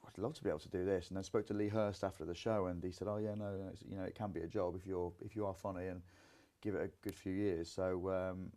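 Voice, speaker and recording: A man's voice: low voice, level tone, fast talker, hardly any pauses, warm tone. A young man from Grimsby, a comedian and singer, chatting casually in an interview with lots of fillers. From Grimsby, England. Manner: rambling, many fillers.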